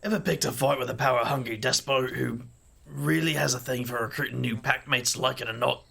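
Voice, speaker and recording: An actor playing a dry, self-deprecating street magician who also narrates the story. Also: male voice, mid-range voice, a little fast, vocal fry, sharp tone, breathy edge, full character voice. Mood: wry. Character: wry street magician, a leader among city magicians. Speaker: first-person narrator of the story. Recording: noisy background hiss.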